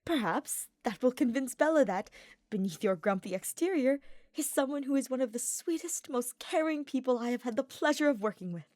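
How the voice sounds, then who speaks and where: A woman's voice: high-pitched, animated, fairly quick, a little mellow, no room sound, studio quality, pitched above the performer's own voice. A woman voicing an earnest, cheerful young nobleman knight in an audiobook, with florid and formal phrasing. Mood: encouraging. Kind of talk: fan fiction audiobook character voice.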